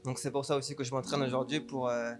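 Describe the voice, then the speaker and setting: A man's voice: mid-range voice, flat delivery, quick pace, faint music behind. A man from the Paris suburbs, a dance teacher, answering interview questions enthusiastically. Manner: enthusiastic, repeated phrases.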